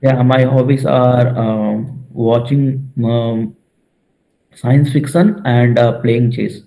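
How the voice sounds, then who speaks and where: A young male student from Bihar introducing himself, hesitant with many pauses. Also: medium pitch, fairly even intonation, vocal fry, mellow, poor audio. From Bihar, India. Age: young adult. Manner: slow, hesitant. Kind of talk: self-introduction.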